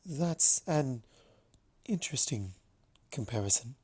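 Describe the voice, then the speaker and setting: A man voicing a reserved, regal alicorn princess with a hesitant, soft-spoken manner in a story reading. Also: mid-range voice, lively intonation, slow, breathy edge, exaggerated voice. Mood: uneasy. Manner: hesitant, quiet.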